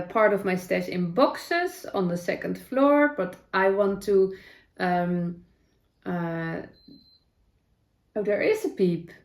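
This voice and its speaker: A middle-aged Dutch woman with a Dutch accent, chatting casually about her day as a knitting vlogger at home, rambling with fillers and self-corrections. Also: medium pitch. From Netherlands. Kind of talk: casual chat. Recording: roomy echo.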